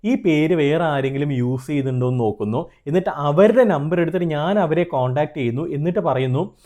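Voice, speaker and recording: Male Malayali consultant-host, slightly high but soft-edged mellow voice, earnest explanatory delivery with English terms mixed in. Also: a little fast. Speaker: trademark consultant and video host. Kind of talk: explanatory advice straight to viewers.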